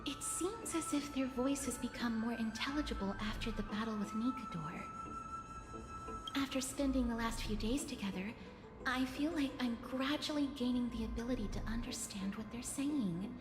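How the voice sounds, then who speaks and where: A woman voicing a calm, measured and kind young woman. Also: fairly high pitch, close to an everyday voice. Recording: echoey, reverberant game audio. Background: game music.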